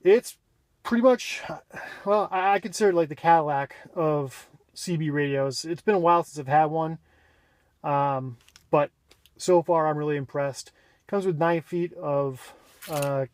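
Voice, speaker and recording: A man giving a casual, unscripted walk-around explanation in a plainspoken, halting way, pausing mid-phrase, recorded on a handheld camera mic around his vehicle. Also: fairly high pitch, no room sound. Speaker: vlogger showing his own vehicle radio install.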